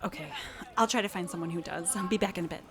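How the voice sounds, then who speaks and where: An actress playing a friendly, apologetic camp chaperone in an audio drama, casual and placating. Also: female voice, lowish voice, fast talker, crowd noise, natural voice. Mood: casual, reassuring.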